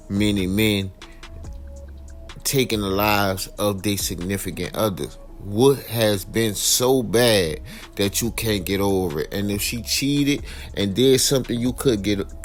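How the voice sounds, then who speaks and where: Low-voiced American man telling crime stories. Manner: colloquial, direct address to viewers, emphatic repetition. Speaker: true crime commentary host. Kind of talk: informal crime storytelling with personal opinion. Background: background music.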